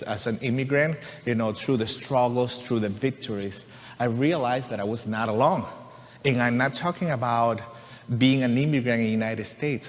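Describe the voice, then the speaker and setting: Man sharing testimony in a reverberant church. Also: medium pitch. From Venezuela. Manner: earnest, conversational, leans on "you know" and "right?". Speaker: church musician and composer. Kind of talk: personal testimony to a congregation. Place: church sanctuary. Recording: long reverb of a large church hall.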